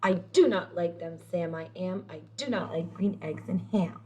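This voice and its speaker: A woman voicing a fussy, stubborn grouch in an animated, emphatic children's read-aloud. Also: fairly low pitch, moderate pace, slight background noise, pitched below the performer's own voice, lightly acted. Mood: grumpy. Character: stubborn, fussy, exasperated grouch refusing food.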